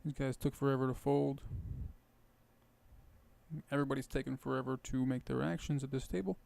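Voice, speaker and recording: A man with a rough, hoarse voice casually explaining his poker plays while thinking aloud. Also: medium pitch, fairly even intonation, fairly quick, darkish tone. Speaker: poker video host. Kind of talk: casual poker commentary over gameplay.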